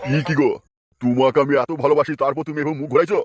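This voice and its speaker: A man's voice: a little high, steady tone, rapid-fire, faint music behind, lightly acted. A man voicing a lecherous, spiteful middle-aged village father-in-law in a comic cartoon. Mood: wheedling.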